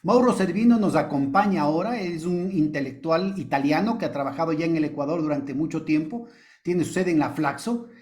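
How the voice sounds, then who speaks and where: A man hosts an interview in a somewhat high-pitched voice. He greets his guest warmly and asks long, meandering questions. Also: expressive, fairly quick. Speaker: host. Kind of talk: interview hosting.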